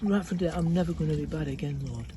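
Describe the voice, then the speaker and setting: A storyteller voicing an excitable, clumsy little tax collector man who is flustered, breathless and apologetic. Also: mid-range voice, measured pace, noisy background, voice pushed up, natural voice. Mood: remorseful.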